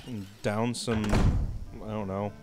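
A man's voice: on the low side, steady tone, takes it slowly. A man co-hosting a game playthrough, casually guiding his partner with teasing, profane banter. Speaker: guiding let's-play co-host. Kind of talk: casual let's-play banter. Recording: game music underneath.

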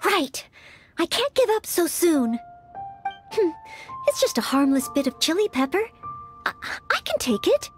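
Anime game maid-knight: young, very high and lilting, courteous, earnest, easily anxious. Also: female voice, sing-song, a little slow, long pauses, faint music behind, heavily acted. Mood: nervous, determined. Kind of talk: anime-style video game dialogue.

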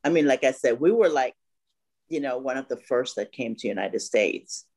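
A woman's voice: extremely low pitch, lively intonation, normal speed, a little mellow, slightly rough. A woman in her fifties, from Vietnam, talking casually over a laptop microphone on a video call. She speaks hesitantly, stopping often for "you know" and restarting her sentences. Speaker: guest. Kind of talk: casual interview chat. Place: home room by a bright window.

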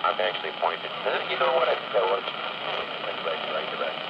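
A man's voice: sits low, sing-song, a little fast, creaky. A man chatting hesitantly over CB radio, repeating words as he goes. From Michigan, United States. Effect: heard through CB radio. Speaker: CB radio operator. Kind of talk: casual radio chat. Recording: muffled CB transmission with loud static.

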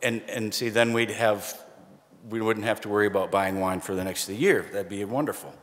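A middle-aged man preaching a sermon from a pulpit, conversational and lightly humorous, pausing for emphasis. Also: fairly low pitch, fairly even intonation. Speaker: pastor. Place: church sanctuary, standing at a pulpit. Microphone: pulpit microphone, far camera. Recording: long church reverb.

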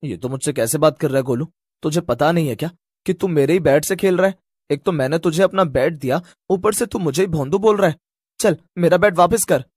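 A man's voice: fairly high pitch, brisk, darkish tone, slightly rough, no echo, studio quality. A man voicing an indignant rich young boy who speaks insistently and stands up for himself in a cartoon story.

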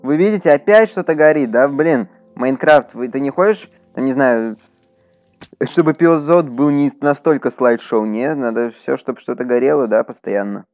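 A man's voice: mid-range voice, normal speed, a little mellow, no room sound, soft background music. Casual male gamer chatting over gameplay. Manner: chatty, talks to his horse and to viewers. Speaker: gaming YouTuber. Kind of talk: casual Minecraft let's play commentary.

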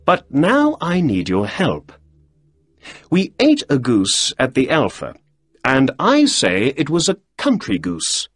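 A man's voice: medium pitch, wildly swooping pitch, slow pace, slightly rough, no echo, voice pushed up, heavily acted. A man voicing a keen, polite male detective who asks probing questions.

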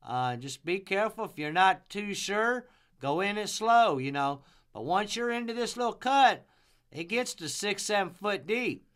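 High-pitched folksy man. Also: expressive, unhurried, a bit bright. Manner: folksy, plain-spoken. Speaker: fishing guide and charter captain. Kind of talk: informal fishing report narrated over a map.

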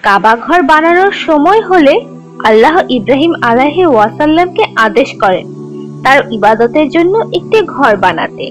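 A woman playing a kind teacher who tells religious stories to children in a measured, instructive, scripted way. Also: high-pitched, big pitch swings, soft background music, low-quality recording. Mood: instructive, gentle. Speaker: storyteller narrator teaching children.

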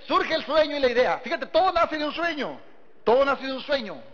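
Mexican guy from the north, highish voice, motivational storytelling talk, echoey hall, kinda muffled recording. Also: big pitch swings, brisk, a bit bright. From Sinaloa, northern Mexico. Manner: folksy, storytelling, engaging listeners with rhetorical questions. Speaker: motivational business seminar speaker. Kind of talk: motivational talk to a live audience. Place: large seminar hall before an audience.

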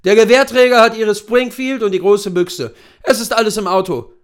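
A man reading a story, voicing a gruff, seasoned big-game hunter who talks in terse commands. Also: high-pitched, normal speed, pitched above the performer's own voice, lightly acted. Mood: brisk, commanding.

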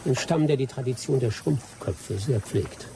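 Actor as a gravelly, wry jungle adventurer man. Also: mid-range voice, measured pace, natural voice. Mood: wry storytelling. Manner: wry, dry humour. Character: seasoned, teasing male jungle adventurer, once held captive. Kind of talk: German radio drama acting.

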